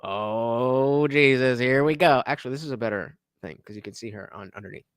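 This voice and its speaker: A man hosting a live stream, reacting to a debate clip with quick, profane asides. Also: medium pitch, clear, resonant tone, no echo, studio quality.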